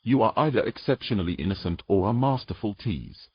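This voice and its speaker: A man's voice: on the low side, expressive, brisk, slightly rough, muffled recording. A man playing a proud, nervous knight in a fantasy audio drama, speaking in formal old-fashioned phrases with dry sarcasm. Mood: indignant, dry.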